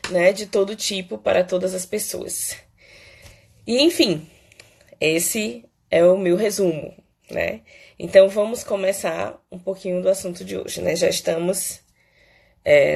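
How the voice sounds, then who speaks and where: A woman from Salvador, a psychologist hosting a casual live Q&A, hoarse and stuffy from a cold, chatty and peppering her talk with "né". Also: medium pitch. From Salvador, Bahia, Brazil. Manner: chatty, fills pauses with "né" and "é". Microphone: phone.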